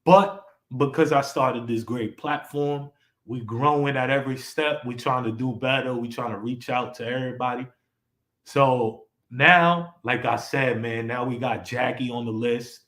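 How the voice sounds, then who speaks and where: A man's voice: mid-range voice, fairly even intonation, measured pace, a bit bright. A young man hosting a casual live-stream talk show, rambling and laid-back with lots of fillers. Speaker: podcast host. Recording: echoey room.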